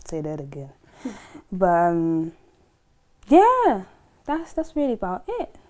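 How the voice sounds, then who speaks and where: A woman's voice: medium pitch, huge pitch swings, takes it slowly, warm tone. A woman in her twenties, born in Trinidad and Tobago, chatting as a guest, joking loosely and laughing between words. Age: 20s. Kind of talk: casual chat.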